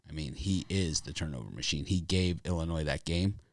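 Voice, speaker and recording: A man with a raspy voice hosting a sports betting show, talking casually in a rambling, opinionated way with lots of fillers. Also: very deep voice, fairly quick, no room sound, clean studio sound.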